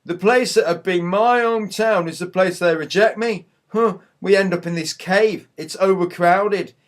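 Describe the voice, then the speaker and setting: A man's voice: high-pitched, normal speed, lightly acted. A man playing an aggrieved, down-on-his-luck young husband-to-be, grumbling chattily in a mock news interview. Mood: indignant, disgusted. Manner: chatty, grumbling, rhetorical questions.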